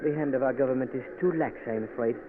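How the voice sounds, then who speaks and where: A man's voice: medium pitch, racing, lightly acted. Guy playing a suave scheming minister, old radio play, phone-thin sound. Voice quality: smooth, courtly, French phrases. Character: suave scheming male Haitian health minister. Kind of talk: 1940s radio acting. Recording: noisy tinny old radio broadcast.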